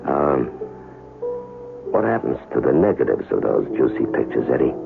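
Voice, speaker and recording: An actor playing a sly, probing go-between in a blackmail racket, gravelly, in old-time radio drama style. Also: male voice, sing-song, moderate pace, full character voice. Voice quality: very deep, gravelly. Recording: narrow-band old radio with loud music.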